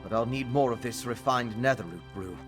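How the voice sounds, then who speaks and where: An earnest, scholarly alchemist played by a male actor explains things carefully in a fantasy game. His medium-pitched male voice is thoughtful and focused, with music clearly playing behind it. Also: a little fast, close to an everyday voice. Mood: thoughtful, intrigued. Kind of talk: fantasy video game dialogue.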